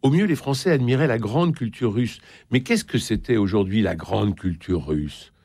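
Male literary host, low creaky voice, close dry sound, interviewing and reading aloud. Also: normal speed, darkish tone, no room sound.

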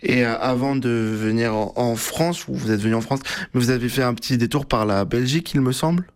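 A man's voice: mid-range voice, brisk. A man hosting an interview and asking polite, formal questions, with some fillers. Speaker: interviewer, host.

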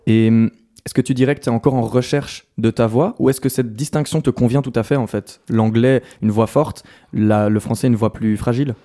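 A man hosting a radio interview, asking measured, thoughtful questions. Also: medium pitch, fairly even intonation, warm tone.

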